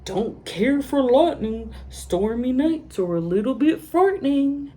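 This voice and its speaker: Nervous goose, loud and lifted, read by a woman. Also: sits high, expressive, measured pace, pitched above the performer's own voice. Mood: scared. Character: jittery goose afraid of lightning.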